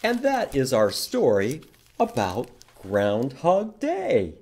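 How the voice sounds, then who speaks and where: A man in his fifties hosts storytime for young children. He takes his time, speaking slowly and emphatically, with pitch that rises and falls in a very lively way. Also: slightly rough. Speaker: library storytime host. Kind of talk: children's read-aloud and teaching. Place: indoor public library room.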